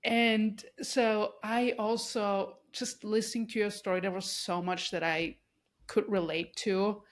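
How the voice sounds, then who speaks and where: A woman's voice: mid-range voice, little pitch movement, unhurried. Austrian-born woman hosting a podcast. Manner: warm, effusive, many restarts and fillers. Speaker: podcast host. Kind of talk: casual interview conversation.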